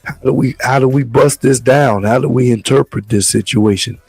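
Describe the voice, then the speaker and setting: Hoarse, gravelly-voiced male talk host. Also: mid-range voice, darkish tone, no echo, soft background music. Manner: measured, loose, repeats words as he talks. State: hoarse from the flu. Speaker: show host. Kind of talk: casual talk show hosting.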